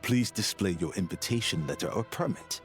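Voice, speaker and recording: Man acting a deep-voiced, officious gate guard. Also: lively intonation, brisk, faint music behind, natural voice. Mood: officious, exasperated. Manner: formal, condescending, exasperated. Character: officious male gate guard, stern, self-important, protocol-bound. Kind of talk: video game voice acting.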